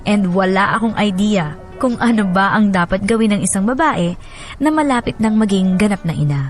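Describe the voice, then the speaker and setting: Soft music sits behind an actress as a wife recounting her marriage. Her mid-pitched voice is reflective and confiding, narrating in the first person for a radio drama. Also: lively intonation, normal speed, close to an everyday voice. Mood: rueful, confiding.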